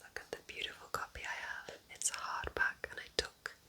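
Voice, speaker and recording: Whispering woman, breathy ASMR book chat. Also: a little fast. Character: kind witch welcoming a visitor to her cabin. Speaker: ASMR roleplay host.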